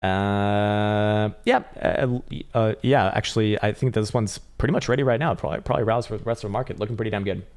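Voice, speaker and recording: A man hosting a live crypto trading stream, talking loosely and profanely with halting restarts as he reads viewer chat, his voice getting strained and hoarse. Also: fairly low pitch, a little mellow. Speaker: crypto trading livestream host. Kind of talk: casual live-stream chart commentary.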